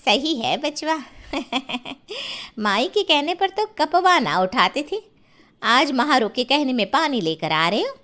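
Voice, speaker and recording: Woman playing a high, dramatic gossipy village auntie. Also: very animated, slow pace, bright, forceful tone, full character voice. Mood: teasing. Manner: dramatic, rustic, exclamatory grumbling. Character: gossipy, complaining older neighbour auntie in Hindi storybook tale.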